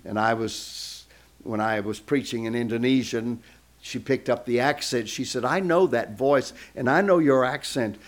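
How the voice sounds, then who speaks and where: A man's voice: medium pitch, moderate pace. A man who is a guest speaker, telling a story in a sermon and acting out the voices of the people in it.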